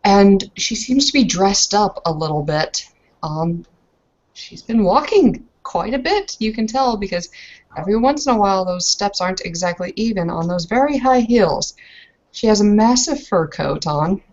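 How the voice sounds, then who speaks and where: A woman's voice: mid-range voice, lively intonation, moderate pace, a little mellow, dull audio. A woman narrating a tabletop roleplaying game as a player, improvising hesitantly as she goes.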